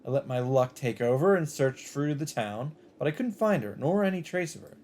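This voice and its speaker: A man reading a visual novel script aloud in an expressive narrating style. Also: mid-range voice, moderate pace, slight background noise. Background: game music.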